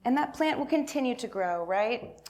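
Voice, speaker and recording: A woman's voice: fairly high pitch, expressive, moderate pace, darkish tone. A woman giving an interactive talk for all ages at church, informal and encouraging, prompting listeners with questions. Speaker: church faith and justice coordinator.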